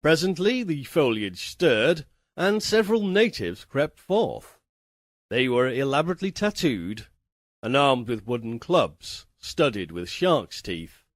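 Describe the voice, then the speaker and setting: A man narrating a literary first-person story as an audiobook reader, with a measured, theatrical, formal delivery. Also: on the high side, no echo, studio quality.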